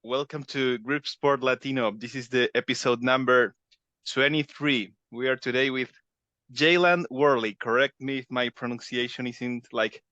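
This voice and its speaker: Bright-voiced host on dull dry audio. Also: male voice, mid-range voice, fairly even intonation, moderate pace, dry recording. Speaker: podcast host. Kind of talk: interview questions. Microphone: video call.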